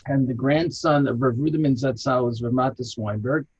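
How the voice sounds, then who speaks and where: An American man from Chicago tells long, animated anecdotes as an interview guest, his pitch jumping up when he gets excited. His voice is husky and breathy, at a middle pitch. It comes through a dull, muffled, lo-fi line. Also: moderate pace. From Chicago, USA. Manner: animated anecdotal storyteller, lively jumps in pitch. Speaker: rabbi and archaeologist guest. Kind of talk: storytelling interview answers. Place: home in an old city, remote call.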